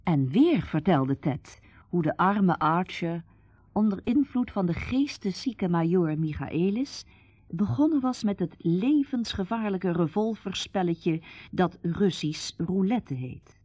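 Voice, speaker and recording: A woman's voice: fairly low pitch, expressive, slow pace, dark tone, dry recording. A woman playing the composed storyteller who narrates a Dutch radio drama. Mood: measured.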